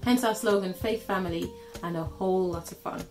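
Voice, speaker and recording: A woman in her thirties from Germany welcomes viewers from the sofa at home, friendly and a little hesitant, with a few fillers. Her voice is mid-pitched, and soft music plays faintly behind her. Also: a little fast. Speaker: channel co-host. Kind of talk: scripted channel introduction. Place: living room, on a sofa. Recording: light music underneath. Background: faint background music.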